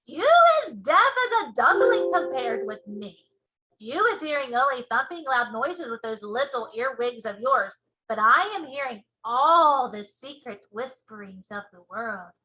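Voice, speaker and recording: Woman as big male storybook giant, proud, earnest, touchy, high bright forceful delivery, muddled folksy grammar. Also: expressive, measured pace, rough recording. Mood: boastful wonder. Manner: folksy muddled grammar with whimsical invented words.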